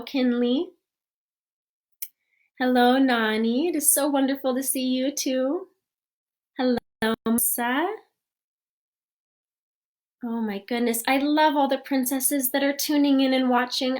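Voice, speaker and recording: A young woman playing a sweet, gracious fairy-tale princess, greeting young fans one by one in a gentle, gushing, storybook-polite manner. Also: high-pitched. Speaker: costumed princess performer.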